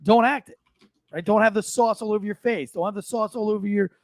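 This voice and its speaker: A man's voice: high-pitched, fairly quick, no echo, studio quality. An American man co-hosting a podcast with casual, blunt, opinionated rants, repeating phrases for emphasis. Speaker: podcast co-host. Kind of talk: casual, profane opinionated banter.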